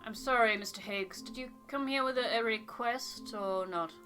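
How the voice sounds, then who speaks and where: A woman's voice: a little high, pushed and bright, faint music behind. Woman playing a stern, formal expedition officer. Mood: reserved, impatient. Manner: formal, measured, brisk.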